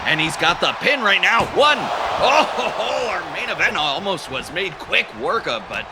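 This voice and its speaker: A man doing comedic live play-by-play commentary for a wrestling match, rambling through jokes with lots of fillers and bursting into excited calls. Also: high voice, moderate pace. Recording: loud crowd and noise behind.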